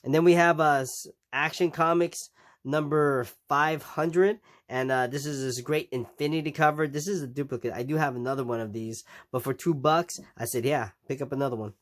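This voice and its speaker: A man's voice: medium pitch, fairly even intonation, normal speed, fairly bright tone. A man with a nasal voice from a recent cold, casually showing his purchases in a rambling vlog with many ums and restarts. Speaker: comic collecting vlogger. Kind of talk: casual show-and-tell vlog.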